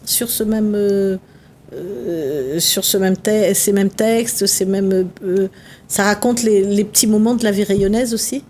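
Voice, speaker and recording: A woman's voice: medium pitch, measured pace, warm tone, slight background noise. A woman co-hosting a TV interview, asking questions hesitantly with many fillers. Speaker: TV co-host. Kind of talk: conversational interviewing. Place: TV studio.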